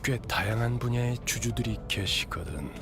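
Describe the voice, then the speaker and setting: A man's voice: medium pitch, expressive, racing, lightly acted. An actor playing a composed, authoritative male guide in a game, speaking formally and curtly. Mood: calm, assured. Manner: curt, assured, formal. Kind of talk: game voice acting. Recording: game audio with music.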